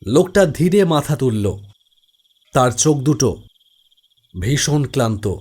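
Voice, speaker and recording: A man's voice: medium pitch, lively intonation, slow pace, darkish tone, breathy edge. A man narrates a scripted first-person horror story.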